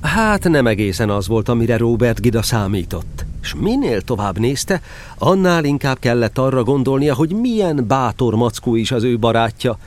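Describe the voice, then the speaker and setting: A man narrating a scripted children's story in an expressive storytelling manner. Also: medium pitch, slight background noise.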